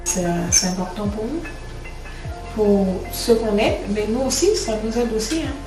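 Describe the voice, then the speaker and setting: A woman's voice: fairly high pitch, animated, a little slow. Plain-spoken woman in an echoey room. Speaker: home care worker. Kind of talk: interview testimonial about their job. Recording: echoey room, distant mic, music bed. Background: music.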